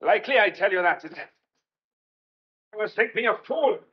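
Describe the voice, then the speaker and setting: An actor playing a jealous, suspicious rural sheep farmer in an old-fashioned radio play, blunt, needling and sardonic. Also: male voice, high voice, lively intonation, brisk, breathy edge, lo-fi sound. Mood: bitter, sullen.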